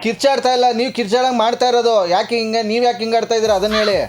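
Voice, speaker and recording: A man's voice: very high voice, level tone, very fast, very noisy. A man with a Karnataka Kannada accent making a prank phone call as a radio jockey, pestering and argumentative in colloquial speech.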